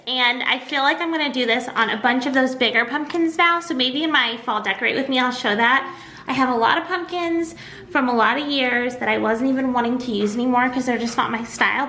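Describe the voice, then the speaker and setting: A woman chatting casually as a craft vlogger in a nasal voice, with a bubbly, expressive, gushing manner. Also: high voice, brisk. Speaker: craft and home decor YouTuber. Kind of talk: casual vlog chat.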